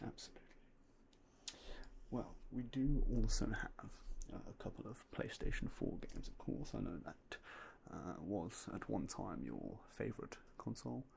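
A young man softly whispering in a polite ASMR roleplay as a game store clerk, close to a binaural mic. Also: on the low side, animated, a little slow, gravelly, slight background noise, rough recording. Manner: soft, polite, mostly unvoiced whispering.

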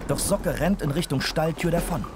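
A man's voice: fairly high pitch, normal speed, over loud music. A man giving scripted narration for a children's audio drama.

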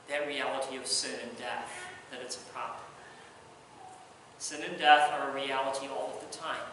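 A man in his thirties preaching a sermon from the pulpit, earnest, moving between hushed lines and raised emphasis. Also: high voice, a little hiss or hum. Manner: earnest, swings from soft hush to raised emphasis. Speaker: pastor. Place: large church sanctuary, at the pulpit. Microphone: distant pulpit mic. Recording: echoey church from far off.

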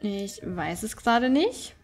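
A woman's voice: mid-range voice, very animated, takes it slowly, faint music behind. A woman giving casual let's play commentary, chatty and thinking aloud as she goes. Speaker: gaming streamer and let's play host.

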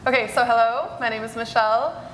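A woman's voice: fairly high pitch, fairly quick, very noisy. A teenage girl, a panelist on a conference stage, introducing herself in a chatty, upbeat way. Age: teens. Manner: chatty, upbeat, laughs often. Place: conference stage in a large hall. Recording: echoey hall recording.

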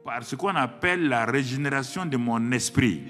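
A man's voice: mid-range voice, moderate pace, fairly bright tone, soft background music. An older man preaching a sermon from a studio desk into a stand microphone, driving his points home with repetition and rhetorical questions. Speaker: pastor. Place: studio set seated behind a desk.

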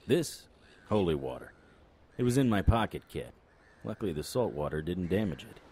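An actor playing a cocky, unflappable supernatural private investigator in an audio drama, full of wry, flippant banter. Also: male voice, deep voice, sing-song, normal speed, darkish tone, slight background noise. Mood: brisk, cocky.

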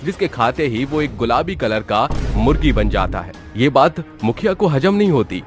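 A man delivers dramatic scripted story narration at a medium pitch. Soft music plays behind his voice. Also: sing-song, moderate pace.

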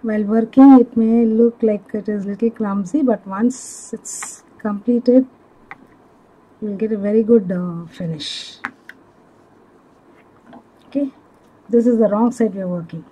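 A woman giving step-by-step craft instructions in a measured, halting manner, as a tutorial instructor. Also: mid-range voice, warm tone, low-quality recording. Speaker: crochet instructor.

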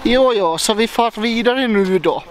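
A man's voice: high-pitched, a little hiss or hum. A young man, joking casually in a vlog while filming himself at arm's length. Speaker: vlogger.

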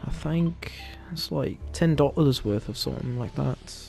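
A man's voice: mid-range voice, expressive, a little slow, gravelly, mellow, faint music behind. A man gamer chatting casually while he plays an online game. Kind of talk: casual gameplay chat.

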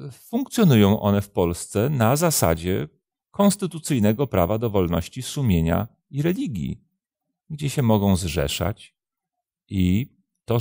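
A man in his fifties, a pastor hosting a programme, answering religious questions in a measured, deliberate way with hesitant pauses. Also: on the low side, creaky, mellow, no echo, studio quality. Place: indoor studio, seated at a desk.

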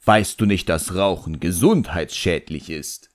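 A man's voice: low voice, a little slow. A man voicing a sardonic, cunning ancient djinn who grumbles and mocks, in an audiobook. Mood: mocking. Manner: sarcastic, grumbling. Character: sardonic, ancient male djinn, cunning, grudgingly obedient servant.

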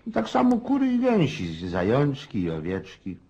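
An actor playing a blustering, self-important old countryside landowner in a radio play, rambling and folksy with a husky voice. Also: male voice, mid-range voice, wildly swooping pitch, normal speed, a little mellow, muffled recording, exaggerated voice. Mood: puzzled musing.

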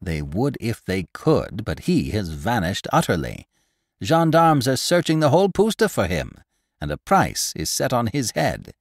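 A man's voice: mid-range voice, wildly swooping pitch, unhurried, creaky, dry recording, clean studio sound, voice pushed up, full character voice. A man voicing a stout, gossipy older woman shopkeeper in an audiobook, chattering in a breathless, exclamatory way. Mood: gossiping. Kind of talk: audiobook character reading.

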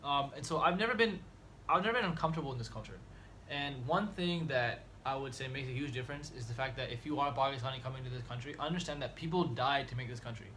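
This young man talks quickly in a relaxed, slangy way, his voice bright and forward. He sounds like he is in a small dorm room, with faint room hiss behind him. He laughs easily as he explains things. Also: medium pitch. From United States. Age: 20s. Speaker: medical student guest. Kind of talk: casual chat.

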